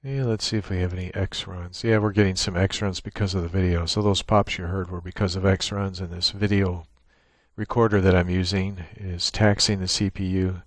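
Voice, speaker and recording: Guy with a low voice walking thru a software setup, kinda halting, close dry sound. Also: little pitch movement, no echo. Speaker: software tutorial presenter. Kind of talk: unscripted screen-share tutorial.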